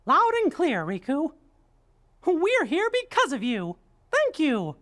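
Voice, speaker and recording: A woman voicing a kindly, sincere little cartoon hero in an animated video game. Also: high voice, slow pace, full character voice.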